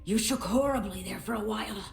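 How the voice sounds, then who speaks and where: An actress playing a calm, caring bard companion in a fantasy video game, measured and serious in manner. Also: female voice, medium pitch, vocal fry, noisy background, lightly acted. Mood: earnest warning. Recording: echoey, faint music behind.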